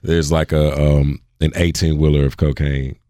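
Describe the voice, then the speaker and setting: A man's voice: very deep voice, little pitch movement, normal speed, dark tone, dry recording, studio quality. A man hosting a podcast, telling stories in a laid-back, joking way. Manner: laid-back, jokey storytelling. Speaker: podcast host. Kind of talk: casual conversation.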